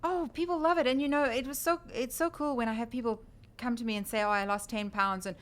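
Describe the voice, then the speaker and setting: A South African woman in her fifties, a chatty and animated author telling her story as a guest on a talk radio show. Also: a little high, fast talker, clean tone.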